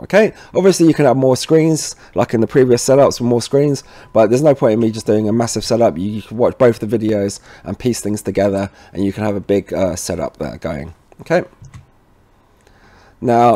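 Male tutorial presenter, mid-pitched and mellow, chatty and informal, recorded close and dry. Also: no echo. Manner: informal, often tags sentences with "okay?" and "guys". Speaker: presenter of an installation tutorial series. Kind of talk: casual instructional voice-over.

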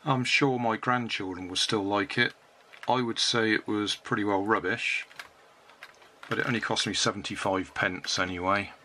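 An older British man narrating a hands-on repair in a casual, unhurried, matter-of-fact way. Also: on the low side, fairly even intonation, sharp tone. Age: 60s or older. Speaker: hobbyist repairing and reviewing a toy. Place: cluttered indoor hobby workbench. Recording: noisy room with clicks and handling sounds.

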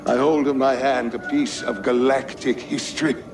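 An older man playing a reverent, excitable scholar in a video game, theatrical and earnest. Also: mid-range voice, normal speed, noisy background, lightly acted. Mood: awed, excited. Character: elderly male scholar, reverent, excitable explorer. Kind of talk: dramatic game monologue. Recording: music behind the voice.

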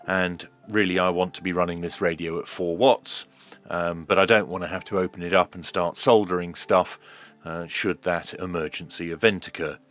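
A man giving a hands-on tech demonstration in a measured, hesitant way, as if thinking aloud. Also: sits low, a bit bright. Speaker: host of a radio repair video.

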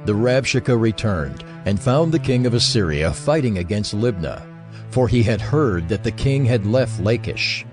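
A man serves as narrator for a dramatized scripture recording. His lowish voice moves expressively through the scripted story, with music behind him. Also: big pitch swings, normal speed, a little mellow.

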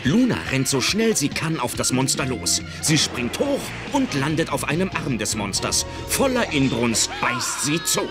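A man's voice: mid-range voice, big pitch swings, moderate pace, hardly any pauses, lightly acted. A man narrating a children's adventure radio play as a dramatic storyteller. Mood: dramatic narration. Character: dramatic male storyteller of a magical-girl adventure. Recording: loud music under the voice.

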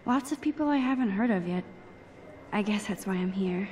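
A woman's voice: medium pitch, lively intonation, moderate pace, darkish tone, slight background noise, natural voice. A young woman with an American accent voicing a shy, wry, geeky teenage photography student in a video game. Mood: quiet admiration. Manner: wry, slangy, self-doubting. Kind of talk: introspective game voice acting.

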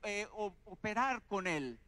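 A man on an arena stage interpreting a sermon phrase by phrase into a handheld microphone, with quick small restarts. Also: high voice, animated, crowd noise. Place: large indoor arena stage.

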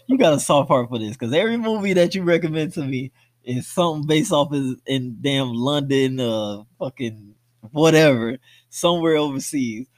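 A man's voice: medium pitch, moderate pace. A man co-hosting a movie podcast, talking casually in slang and swearing freely. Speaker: podcast co-host.

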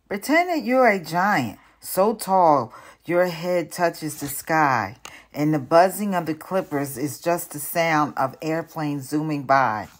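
A woman voicing a boy's encouraging, playful father in a picture book, gently coaxing. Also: on the low side.

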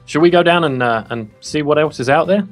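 Man as a quick-talking superhero sidekick, reverberant. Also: a little high, faint music behind, lightly acted. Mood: easygoing. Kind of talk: improvised Minecraft roleplay, casual talk.

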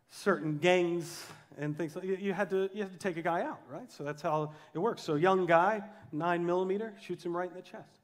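A man in his thirties preaching to a congregation in an informal, emphatic way, with wry asides. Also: high-pitched. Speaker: pastor, guest preacher. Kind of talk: sermon to a live congregation. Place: church auditorium stage, indoors.

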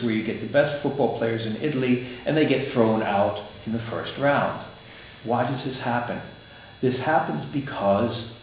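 An older man, Swiss-born and raised in the United States, answering interview questions in a measured, explanatory way with some dry humor, in an office. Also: medium pitch, a little mellow, slight background noise. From Switzerland, raised in the United States. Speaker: interview guest, agile consultant. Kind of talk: conference interview. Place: office room, indoors. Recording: echoey room with faint ambience.

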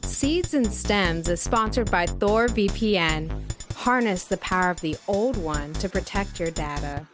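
A woman's voice: mid-range voice, natural voice. A woman playing an earnest music show host, narrating a scripted audio documentary. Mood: ad read. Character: female music podcast host, earnest storyteller.

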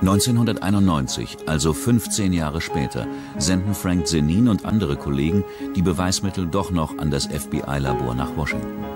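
Deep-voiced man narrating a documentary. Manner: measured, even narration. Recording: music bed under the voice. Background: documentary music.

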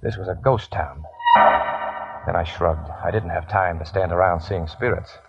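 A man's voice: sits low, normal speed, husky, faint music behind, heavily acted. An actor playing a cocky, wisecracking gambler who narrates his tale in hardboiled 1950s radio drama style. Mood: wry, uneasy. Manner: wry, flippant. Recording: muffled, noisy old radio recording.

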